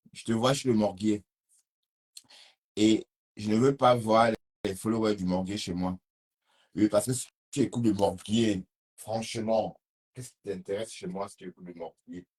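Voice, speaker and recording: A man's voice: on the low side, a little slow, darkish tone, slightly rough. A man with a Cameroonian French accent talking casually on a live stream, reading viewer comments and answering them in a rambling way.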